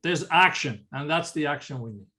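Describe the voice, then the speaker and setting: Older Swedish-accented man, high voice. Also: expressive, moderate pace, bright, forceful tone. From Sweden. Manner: earnest, explanatory, restarts phrases. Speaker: ocean conservation leader. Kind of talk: interview answers.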